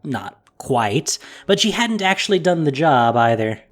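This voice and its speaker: A man reading scripted fantasy story narration with expressive delivery. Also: fairly high pitch, unhurried.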